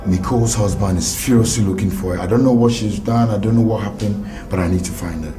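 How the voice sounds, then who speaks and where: An actor playing a young doctor in a film drama, accusing and shouting in heated argument. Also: male voice, on the low side, fast, natural voice. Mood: worried. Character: angry young male doctor. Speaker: doctor character. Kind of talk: Nollywood film acting. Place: indoor room, film set. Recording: film soundtrack with loud music.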